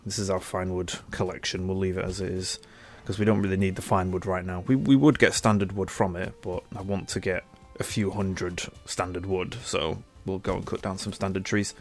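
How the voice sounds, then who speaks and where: A man's voice: deep voice, darkish tone. A young man with a stuffy nose from a cold, chatting easygoingly as he plays a game and thinks aloud. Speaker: gaming channel host. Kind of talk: casual gameplay commentary.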